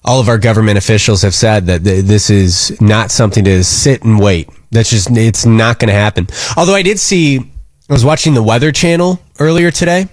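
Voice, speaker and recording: A man's voice: lowish voice, a little fast, dry recording, clean studio sound. An American man hosting a live radio show, talking casually and earnestly in a rambling, concerned way.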